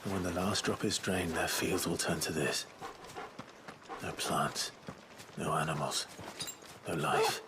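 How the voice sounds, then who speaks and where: Brooding, low-voiced young noble warrior. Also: male voice, noisy background. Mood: grave. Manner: grave, measured. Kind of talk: dramatic video game acting.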